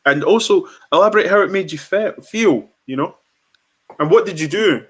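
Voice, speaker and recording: Bright-voiced male podcast host. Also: high voice, normal speed. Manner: direct, repeats himself, many fillers. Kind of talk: interviewing guests.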